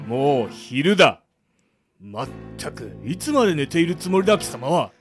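A man's voice: on the high side, sing-song, moderate pace. Stern prison guard voiced by a man, old-fashioned bossy speech, very varied intonation, radio drama over faint music. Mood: gruff, commanding. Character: stern, gruff middle-aged male prison guard. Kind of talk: anime-style amateur radio drama acting.

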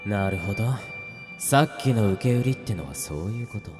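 Man playing a cocky, animated killer. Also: fairly low pitch, fairly quick, over music, lightly acted. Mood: sly, casual. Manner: flippant, casual, taunting. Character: cocky, flippant male killer in a death game. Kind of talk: radio drama acting.

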